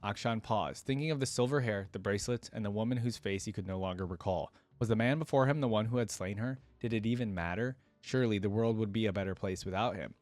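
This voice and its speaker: A man's voice: fairly low pitch, fast, hardly any pauses, a little mellow, faint music behind. A man giving casual, enthusiastic, slangy reaction commentary and reading a story aloud as host.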